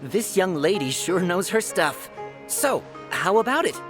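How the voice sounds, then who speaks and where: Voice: medium pitch, sing-song, measured pace. An actor playing a chatty, courteous, showy fortune-telling stall vendor in a video game. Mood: pleased, showy. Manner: salesy, theatrical, courteous. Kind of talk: English video game voice acting. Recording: music behind the voice. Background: game music.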